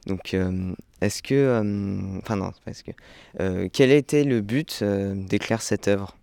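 Deep, creaky, hesitant young man. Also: a little mellow, dry recording. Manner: hesitant, halting. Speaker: young musician being interviewed. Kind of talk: spontaneous interview answers.

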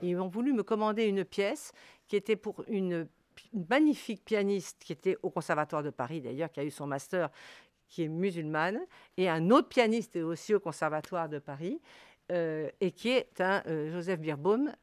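A low-pitched woman from Morocco talks about composing in a spontaneous interview. She is emphatic and repeats words to make her point. The recording is close and dry. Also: expressive, normal speed, darkish tone, no echo.

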